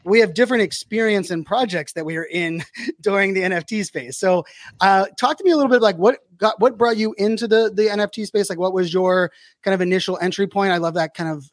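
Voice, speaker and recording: A man's voice: high voice, fairly quick, dry recording, clean studio sound. A man in his forties hosting a podcast, chatty and upbeat with lots of fillers and restarts. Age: 40s. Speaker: podcast host. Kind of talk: casual interview chat.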